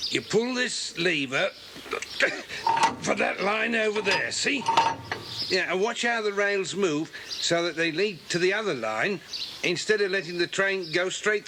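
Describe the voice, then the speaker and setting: Gruff, highish-voiced English railwayman. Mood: grudging. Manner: gruff, colloquial, brusque. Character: gruff, chatty, wary railway points worker. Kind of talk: dramatised children's audiobook acting. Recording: noisy old cassette recording.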